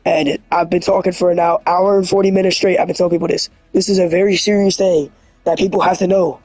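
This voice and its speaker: A man with a hoarse, scratchy voice, rambling earnestly and excitably as a livestreamer. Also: high-pitched, fast, no echo, soft background music. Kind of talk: casual livestream monologue.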